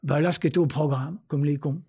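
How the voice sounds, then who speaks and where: Husky older Parisian man telling anecdotes. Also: a little high, fairly even intonation, fast talker, a little mellow. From France. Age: 70s. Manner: digressive, self-deprecating, emphatic repetitions. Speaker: interview guest, academic researcher. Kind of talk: anecdotal interview talk.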